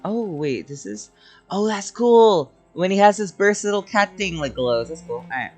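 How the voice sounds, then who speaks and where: A man's voice: high-pitched, animated, normal speed, darkish tone, soft background music. A man giving casual gameplay commentary, laid-back and reacting in short asides. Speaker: gamer.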